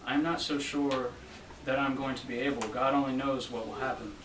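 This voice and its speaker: A young man reads an old love letter aloud as a student reader. His voice sits at a middle pitch. The sound is echoey and distant, with faint noise in the room. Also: steady tone, a little fast. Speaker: student reader at a library event. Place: library room, reading to a small gathering. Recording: roomy, distant event recording with faint noise.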